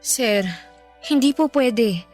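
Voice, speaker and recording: A woman's voice: a little high, slow, a little mellow. A young Filipino woman playing a humble, self-doubting nineteen-year-old housemaid in a radio drama, hesitant and deferential. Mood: pleading, refusing. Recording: music behind.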